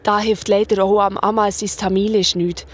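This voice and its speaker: A woman's voice: mid-range voice, flat delivery, moderate pace, breathy edge. A woman with a Swiss German accent narrating a scripted children's story in a colloquial storytelling manner.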